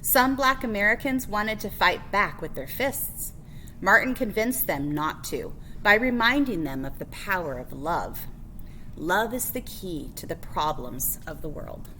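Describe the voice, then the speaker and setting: A woman's voice: mid-range voice, lively intonation, unhurried, sharp tone, a little hiss or hum, poor audio. A woman reading a children's picture book biography aloud as a librarian, phrase by phrase with emphasis on key words.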